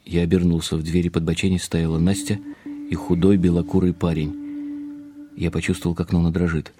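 A man narrating a first-person radio drama in an expressive, confiding, slightly wry way. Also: low-pitched, brisk, over music. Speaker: narrator and lead actor.

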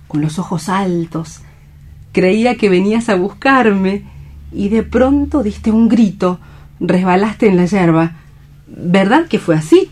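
A woman's voice: medium pitch, darkish tone. An actress playing a devoted, earnest young woman with a lyrical, emotive delivery in a radio drama. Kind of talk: radio theatre dramatic reading.

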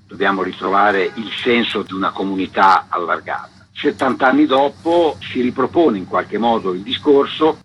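A man's voice: medium pitch, lo-fi sound, a little hiss or hum. A man interviewed on the radio as an exhibition coordinator, giving long, articulate explanations.

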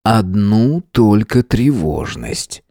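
A man reading aloud, voicing a calm, soothing male captor who speaks slowly and evenly. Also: fairly low pitch, dry recording, studio quality, exaggerated voice.

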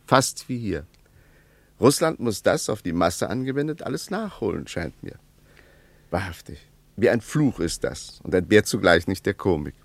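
Radio play: opinionated middle-aged man, sardonic and pointed, creaky, close dry sound. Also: deep voice, no room sound, heavily acted.

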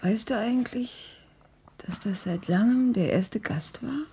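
An actress playing a hospitable, talkative young housewife with an eager, slightly flustered manner in a radio drama. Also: female voice, a little high, a little slow, dark tone, breathy edge, full character voice. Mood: defensive, wistful. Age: young adult. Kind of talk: German radio drama acting.

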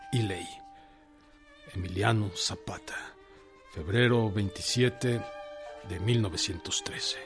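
Stern Mexican revolutionary general. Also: male voice, fairly low pitch. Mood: solemn, commanding. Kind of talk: Spanish-language radio drama acting.

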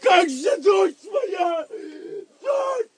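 A man's voice: extremely high pitch, very animated, extremely slow, no room sound, raised voice, a faint crowd, heavily acted. An actor playing a desperate old father in a Russian audio drama, emotional and plaintive. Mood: desperate pleading. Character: Isaac of York, desperate pleading old father.

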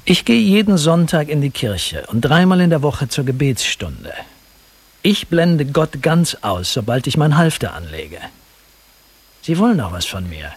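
An actor playing a sceptical, blunt police lieutenant in a German radio drama, with a brusque, sardonic, commanding manner. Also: male voice, on the high side, very animated, unhurried, slightly rough, exaggerated voice. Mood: sardonic, impatient.

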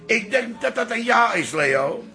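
A man's voice: sits high, big pitch swings, a little slow, gravelly, pushed and bright, faint music behind, heavily acted. An actor playing a cantankerous old father-in-law, dry, sardonic and wheedling, in a Dutch comic radio play. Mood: wry. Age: older. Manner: sardonic, pedantic.

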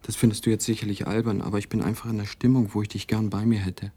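Brooding writer, husky, mellow, intimate, reflective radio monologue, dry close recording. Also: male voice, on the low side, little pitch movement, fast, dry recording. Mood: wistful, reflective. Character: lonely, brooding male American writer voicing his letter. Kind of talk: radio-play letter monologue.

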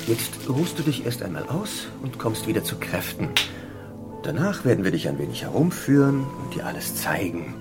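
An actor playing a paternal, faintly sinister caretaker in a German radio drama, speaking in a hushed, soothing manner. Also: male voice, medium pitch, very animated, moderate pace, loud music behind the voice, close to an everyday voice.

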